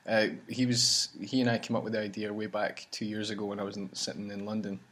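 A man's voice: lowish voice, flat delivery, quick pace, a bit bright. A man hosting a casual chat about his campaign travels, talking in a rambling way with many restarts. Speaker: campaign leader and vlog host.

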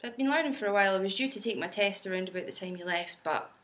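A woman's voice: medium pitch, racing, fairly bright tone. A woman who drives and edits video for the campaign, answering interview questions in a matter-of-fact, understated way. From Scotland.

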